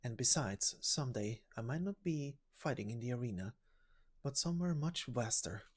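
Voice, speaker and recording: A man's voice: mid-range voice, lively intonation, moderate pace, husky. A man voicing an earnest, determined young female knight in a game story reading, restrained and serious. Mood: resolute. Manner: restrained, serious, plain-spoken.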